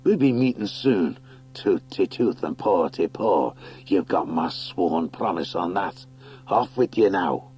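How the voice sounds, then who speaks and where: A man's voice: deep voice, animated, takes it slowly, a little mellow, breathy edge, soft background music, exaggerated voice. Menacing Scottish warrior creature, voiced by a male reader, folksy and set on revenge, for animal fantasy audiobook.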